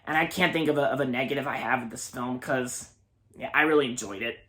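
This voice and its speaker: Young man in his twenties, casual reviewer, eager and stumbling over his words, middle pitch. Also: bright, forceful tone. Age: 20s. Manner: halting, many repeated words and restarts; enthusiastic, gushing. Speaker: movie reviewer. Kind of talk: casual movie review monologue.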